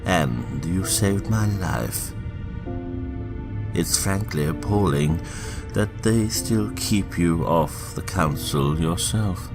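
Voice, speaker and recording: A man playing an elderly, manipulative statesman in a fan audio drama, grave and deliberate, with a gravelly, insinuating delivery. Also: low-pitched, expressive, music in the background, heavily acted. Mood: flattering, persuasive.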